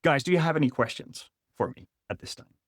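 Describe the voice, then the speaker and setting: A man's voice: fairly high pitch, fairly quick, no room sound, studio quality. A middle-aged man from the Netherlands with a Dutch accent, presenting a business webinar in an explanatory way. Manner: explanatory, with frequent fillers and restarts. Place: online webinar.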